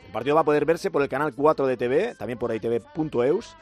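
A man hosting a radio sports news bulletin, brisk and lively, with offhand asides. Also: mid-range voice, faint music behind. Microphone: studio microphone.

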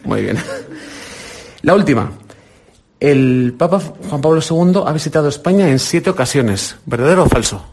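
A man's voice: a little high, animated, a little mellow, slight background noise. A middle-aged man with a Spain Spanish accent, a radio presenter running an encouraging quiz with a children's group. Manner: encouraging, quizzing with quick questions. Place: indoor visit with a children's group.

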